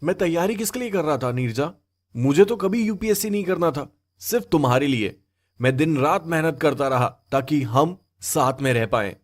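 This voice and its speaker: Guy doing a young husband in an audio drama, bitter but holding it in, measured and reproachful. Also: on the high side, lively intonation. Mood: bitter, resolute. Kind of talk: acted Hindi audio story dialogue.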